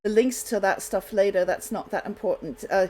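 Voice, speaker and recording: A woman hosting a radio show with casual, unscripted chat, halting and apologetic as she goes. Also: mid-range voice, a little mellow.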